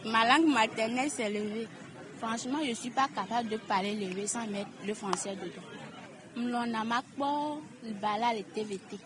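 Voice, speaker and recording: A woman's voice: a little high, moderate pace, crowd noise. A woman answering a street interview in a plain, matter-of-fact way. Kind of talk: vox pop answer. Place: street outdoors. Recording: noisy outdoor street recording.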